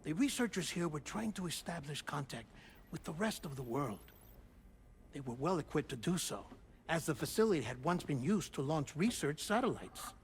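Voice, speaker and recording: A man's voice: fairly high pitch, big pitch swings, a little hiss or hum. An actor playing an eccentric, affable male researcher telling a story in a theatrical, conversational way for a video game cutscene. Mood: expository, intrigued. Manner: theatrical, conversational storytelling with chuckles and dramatic pauses. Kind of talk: video game cutscene monologue, explanatory narration.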